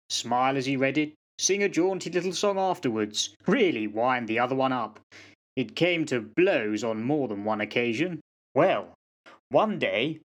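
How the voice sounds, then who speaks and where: A man playing a fatalistic old sergeant-major in a radio play, telling yarns in a folksy, dramatic way. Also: mid-range voice. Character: old soldier sergeant-major, fatalistic yarn-spinning raconteur. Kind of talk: amateur radio play acting.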